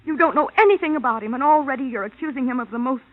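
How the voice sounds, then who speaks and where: A woman's voice: high-pitched, racing, natural voice. A woman with an American accent playing a naively devoted, defensive wife in a vintage radio drama, earnest and emotional. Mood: indignant. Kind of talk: 1950s radio drama acting. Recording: dull, lo-fi old radio recording.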